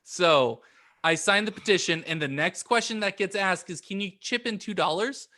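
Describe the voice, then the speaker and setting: A man's voice: high-pitched, normal speed, bright, forceful tone. A man on a podcast panel in casual comedic chat, insistent and often repeating himself.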